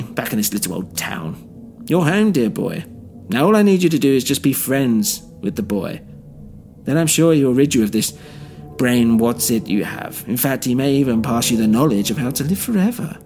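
A man's voice: medium pitch, big pitch swings, darkish tone, voice pushed up. A smug, theatrical villain with a raised, swooping voice that lingers over its words in mock sweetness, as a male storyteller puts him on. Each line is drawn out with sarcastic relish. A faint music bed sits behind him. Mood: coaxing. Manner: mocking, gloating, drawn-out sarcastic delivery. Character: smug, theatrical middle-aged male villain scientist boss.

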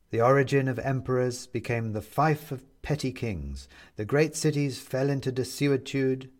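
Measured lyrical male storyteller. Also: medium pitch, a little mellow. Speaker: audiobook narrator. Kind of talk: scripted narration.

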